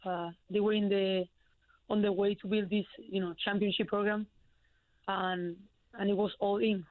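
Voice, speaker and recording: A woman's voice: medium pitch, dry recording. A young woman from Spain with a Spanish accent, answering interview questions as a college basketball player, hesitant with many fillers. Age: young adult. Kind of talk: phone-in radio interview. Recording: tinny phone line.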